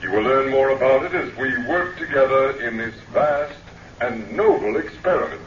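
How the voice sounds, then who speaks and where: An actor playing a dignified, elderly-looking alien lecturer in human guise in a radio drama, formal, deliberate and oratorical. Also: male voice, mid-range voice, lively intonation, lo-fi sound, natural voice. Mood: grand, explanatory. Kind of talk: old radio drama speech. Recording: echoey, distant, noisy vintage radio recording.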